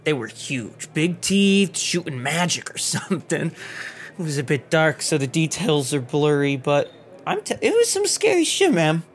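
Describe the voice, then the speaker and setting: High-voiced jovial drunken soldier. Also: male voice, sing-song, moderate pace. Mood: tipsy, rambling. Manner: rambling, over-friendly.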